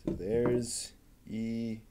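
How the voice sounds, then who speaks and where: A man quietly talking himself through assembling a plastic model kit, half-mumbling as he works. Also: mid-range voice, steady tone, noisy background. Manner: mumbling to himself while working, unhurried. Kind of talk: muttered build commentary.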